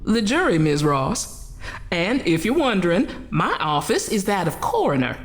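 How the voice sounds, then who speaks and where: A formal, stern coroner. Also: female voice, on the low side, very animated. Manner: formal, measured, dryly sardonic. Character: stern, dry-witted coroner running an inquest. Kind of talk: radio drama acting.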